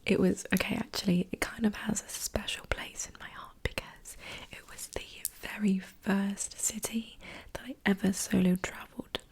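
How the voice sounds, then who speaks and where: Young female ASMR host, whispered and very breathy, casual rambling chat with little pitch movement. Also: flat delivery, moderate pace. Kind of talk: soft-spoken ASMR chat.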